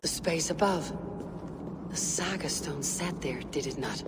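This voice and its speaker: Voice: medium pitch, unhurried, heavy background noise, natural voice. A man playing a stoic, loyal young Viking warrior, guarded and earnest, in a dramatic video game cutscene.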